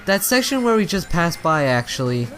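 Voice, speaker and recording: A man's voice: on the high side, very animated, normal speed, fairly bright tone. Man rambling through game commentary over music. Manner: rambling, stumbling over words and rephrasing. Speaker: let's play host. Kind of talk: casual video game commentary.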